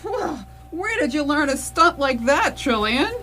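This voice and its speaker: A woman's voice: sits high, very animated, a little slow, pushed and bright, slight background noise, exaggerated voice. A woman playing a startled, puzzled spaceship crew member in radio theatre. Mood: alarmed. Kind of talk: staged radio theatre acting.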